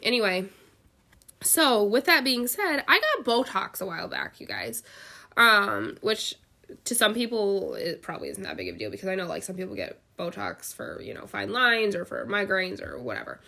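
A woman's voice: medium pitch, huge pitch swings, normal speed, gravelly, sharp tone. A woman co-hosting a podcast in a casual, chatty storytelling way, slightly stuffed up with a head cold and full of filler words and quoted dialogue. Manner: chatty, filler-heavy, quotes people animatedly. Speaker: podcast co-host. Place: bedroom, sitting on a bed.

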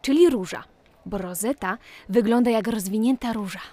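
A woman playing a sensible, knowledgeable big sister in a children's audio play, gentle and explanatory. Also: fairly high pitch, moderate pace, close to an everyday voice. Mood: explaining.

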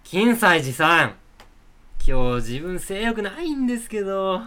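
A man playing a flattering hype-man sidekick in a comedy radio drama, with showy, announcer-like delivery. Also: high-pitched, a little slow, full character voice. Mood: fawning.

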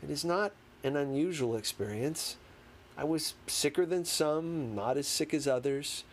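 A man reading a memoir aloud as scripted narration, with dramatic, deliberate phrasing. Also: a little high. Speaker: audiobook reader. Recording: clearly noisy background.

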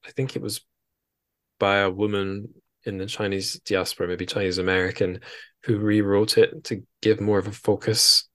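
A man's voice: on the low side, level tone, normal speed, a bit bright. A man hosting a podcast interview in a casual, rambling, self-deprecating way.

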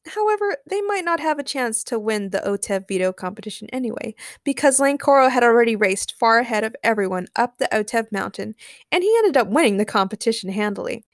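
Woman podcaster narrating a fanfic story, medium pitch, really dry sound. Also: dry recording. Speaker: podcast host narrating fan fiction.

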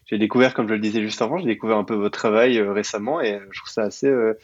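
A man's voice: lowish voice, fairly even intonation, fast, no echo, muffled recording. A young man from Belgium and Sicily talking casually as an interview guest, informally mixing in English jargon. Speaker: podcast guest, startup programme manager.

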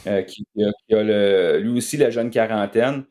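A man's voice: fairly low pitch, normal speed, warm tone. A middle-aged man with a Quebec French accent giving casual sports analysis as a guest journalist.

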